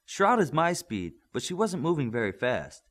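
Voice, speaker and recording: Man voicing an eager, higher-pitched young superhero trainee. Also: sing-song, normal speed. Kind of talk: audiobook character voice.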